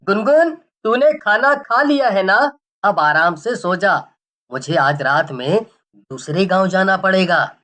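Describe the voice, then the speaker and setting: Village snake-charmer father voiced by a woman, caring, confident, slow measured speech, reassuring cartoon storybook parent. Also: medium pitch, animated, fairly bright tone. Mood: gentle, practical. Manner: reassuring, unhurried, matter-of-fact.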